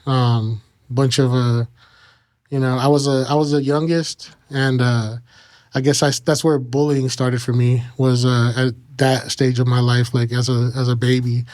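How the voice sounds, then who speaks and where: Male interview guest, thirties, American accent. Smooth voice, little pitch movement, halting casual storytelling with many filler pauses. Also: little pitch movement, darkish tone. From Kansas and Mexico. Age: 30s. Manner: halting, frequent "you know" pauses.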